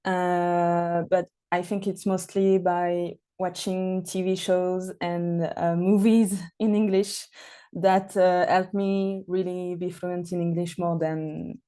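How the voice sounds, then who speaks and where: A woman's voice: on the low side, little pitch movement, slow, warm tone, clean tone. A young woman with a French accent, a native French speaker, chatting hesitantly about herself as a panelist. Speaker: panelist, beer writer. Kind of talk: casual personal account on a panel.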